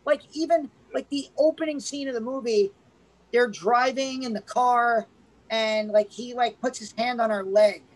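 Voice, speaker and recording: A man's voice: very high voice, moderate pace, low-quality recording. A man co-hosting a casual film review podcast, rambling with many restarts and fillers. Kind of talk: casual chat.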